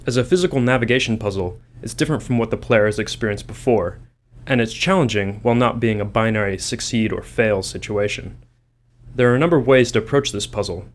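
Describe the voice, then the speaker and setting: A man's voice: lowish voice, a little fast. A man who works as a game developer, reading an explanatory commentary script.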